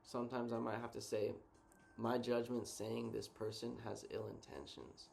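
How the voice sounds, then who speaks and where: A man co-hosting a casual reflective chat, thinking out loud in a laid-back, slangy way. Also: medium pitch, level tone, moderate pace, vocal fry, a little hiss or hum. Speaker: co-host.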